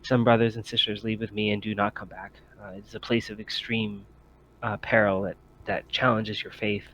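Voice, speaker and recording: A man voicing a fervent female cult believer in a tabletop game, preaching haltingly and matter-of-factly about grim doctrine. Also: fairly low pitch, little pitch movement, fairly bright tone, lo-fi sound. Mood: serene, guarded.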